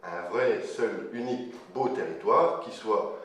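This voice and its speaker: A man's voice: on the low side, takes it slowly, fairly bright tone. A man explaining things spontaneously in an interview, searching for his words and rephrasing as he goes. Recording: very echoey, slightly distant, faint noise.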